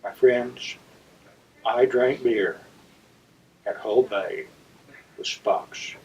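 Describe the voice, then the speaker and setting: A man hosting a story segment, telling a personal anecdote to viewers in a deliberate, theatrical raconteur's manner with dramatic pauses. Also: medium pitch, a little mellow. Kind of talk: anecdotal monologue addressed to viewers. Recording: slightly distant, dull lo-fi sound.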